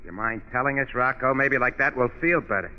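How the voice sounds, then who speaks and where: A man's voice: medium pitch, a little fast, natural voice. An actor playing an uneasy, doubting convict henchman in 1940s radio gangster style. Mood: doubtful. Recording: dull, reverberant old radio recording.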